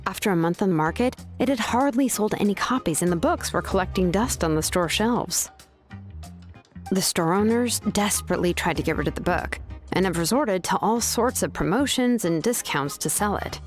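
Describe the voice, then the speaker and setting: A woman's voice: mid-range voice, natural voice. A woman playing a laid-back, considerate young craftswoman in a video game, talking in a casual, explanatory way. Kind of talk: video game character acting. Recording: game music behind the voice.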